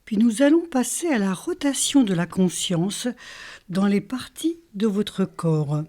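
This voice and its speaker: A woman leading a guided yoga nidra relaxation as a teacher, calm and soothing, in short phrases with pauses. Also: medium pitch. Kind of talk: guided relaxation meditation, instructional.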